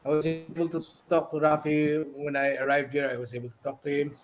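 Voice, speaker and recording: An older man with a Filipino accent reminiscing casually and sentimentally in halting phrases on a video call. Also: fairly high pitch, monotone. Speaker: guest, former radio personality. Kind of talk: casual reminiscing in a group chat. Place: indoors at home, on a video call. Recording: noisy video call with clicks.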